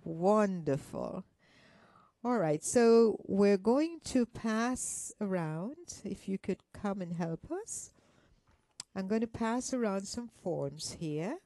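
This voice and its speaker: A woman giving an interactive motivational talk, gently coaxing her listeners with questions and endearments. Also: mid-range voice, no echo. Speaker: workshop speaker.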